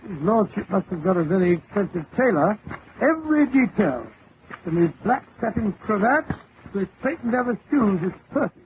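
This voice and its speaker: A high-voiced, blustering English gentleman. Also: sing-song, normal speed. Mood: genial. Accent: British English. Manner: blustering, stammering. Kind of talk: 1940s radio acting. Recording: noisy tinny old radio broadcast.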